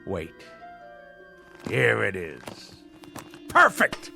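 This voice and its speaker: An actor playing a pompous, navy-obsessed husband in a radio drama, with florid, old-fashioned diction. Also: male voice, lowish voice, wildly swooping pitch, extremely slow, gravelly, pushed and bright, faint music behind, full character voice. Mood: jovial, savouring.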